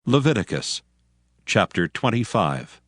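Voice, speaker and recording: A man's voice: on the low side, sing-song, unhurried, a bit bright, breathy edge, no echo, studio quality. A man narrating scripture in a formal, solemn storytelling manner from a script. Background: music.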